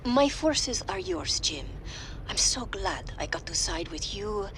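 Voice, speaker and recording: A sly mercenary boss from a sci-fi video game who teases with a lively, bouncing voice. Clear game noise sits behind her. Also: mid-range voice, slow pace. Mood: teasing. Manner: teasing and scheming.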